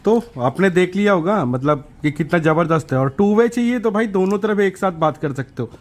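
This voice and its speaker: A man's voice: sits high, lively intonation, brisk. A man hosting a hands-on electronics tutorial in a casual, informal, colloquial way, often repeating himself for emphasis. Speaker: DIY electronics channel host.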